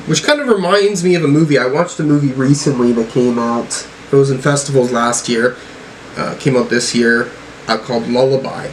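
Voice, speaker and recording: A man's voice: mid-range voice, slight background noise, rough recording. A man giving a casual music reaction as a host, musing and thinking aloud as he talks. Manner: musing, thinking aloud while reading out lyrics.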